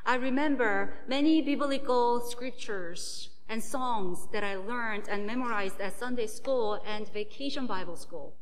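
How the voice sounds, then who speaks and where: A woman whose first language is Korean, preaching a sermon as a pastor in a slow, measured way. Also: a little high, in a large hall.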